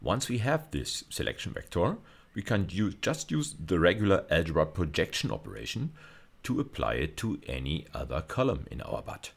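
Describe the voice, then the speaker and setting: A man with an extremely low-pitched voice lectures on technical material. He explains step by step, pausing and restating phrases as he goes. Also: big pitch swings, gravelly. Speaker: university lecturer.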